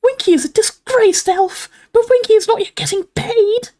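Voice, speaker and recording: A woman voicing a loyal, distraught little female house elf in a storybook, with a squeaky, screeching voice and indignant broken grammar. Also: moderate pace, dark tone. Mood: offended, ashamed. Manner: broken grammar, speaks of herself in third person.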